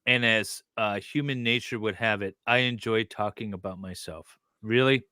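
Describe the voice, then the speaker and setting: A man's voice: fairly low pitch, normal speed, sharp tone, clean tone, no echo, studio quality. A middle-aged man casually reading aloud and breaking off for wry, teasing asides as a co-host. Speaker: co-host reading and reacting to a document.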